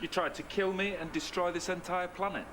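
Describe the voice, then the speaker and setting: An actor playing a brisk, clever time-travelling hero in a television drama, dry and teasing. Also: male voice, sits high, fairly even intonation, fairly bright tone. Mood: wry. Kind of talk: television science fiction drama acting. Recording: TV soundtrack under music, distant.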